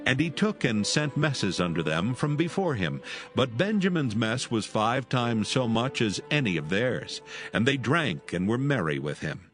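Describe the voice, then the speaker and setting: A man's voice: medium pitch, very animated, measured pace, fairly bright tone, soft background music. A man narrating solemn scripture as part of a dramatised audio Bible.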